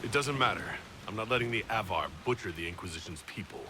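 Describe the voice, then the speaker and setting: Low earnest game hero, resolute, wide swings in pitch, noisy ambience behind. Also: male voice, lively intonation, fast. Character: steady young male chosen hero, calm, resolute. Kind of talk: dramatic game cutscene acting.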